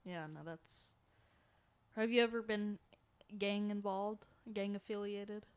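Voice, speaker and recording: A woman's voice: medium pitch, slow, smooth. A young American woman co-hosting a podcast, bantering casually with slang, jokes and easy swearing. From North Carolina, United States. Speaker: podcast co-host.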